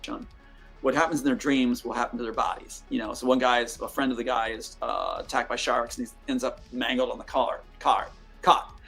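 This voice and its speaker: Gravelly, husky male interviewee, rambling, stumbling, played-back clip with faint music. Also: mid-range voice, steady tone, brisk, a bit bright. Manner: stumbling, repeats words. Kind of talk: rambling interview recounting a story.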